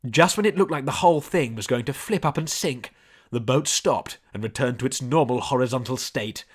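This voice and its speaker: A man reading scripted story narration in a measured storybook delivery. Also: medium pitch, breathy edge. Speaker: audiobook narrator.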